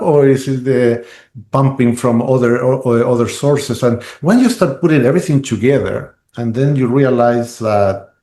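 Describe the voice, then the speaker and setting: A man's voice: mid-range voice, a little mellow. A man. He talks in loose, rambling runs full of restarts and "right?" tags. His voice sits in a roomy, echoing space. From Chile. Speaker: guest. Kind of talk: podcast interview.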